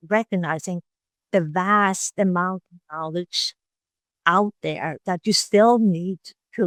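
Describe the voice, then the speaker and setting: An older woman with a Norwegian accent, a veterinary oncologist telling her story as a podcast guest in a reflective, unhurried way. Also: medium pitch, dry recording. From Norway. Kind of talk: casual interview storytelling.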